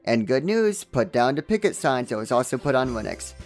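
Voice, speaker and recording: A man's voice: medium pitch, very animated, brisk. A man narrates a scripted game review as the host of the video. He is wry and jokey, poking fun at himself in comedic asides. Music plays behind his voice. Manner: wry, joking, self-deprecating.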